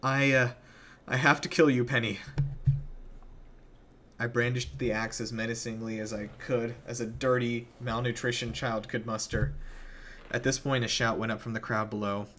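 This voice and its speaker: A man's voice: fairly low pitch, flat delivery, normal speed, a bit bright. Man dramatically narrating horror, faint noise behind. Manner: intense, theatrical, grave. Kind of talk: dramatic reading of a first-person horror story.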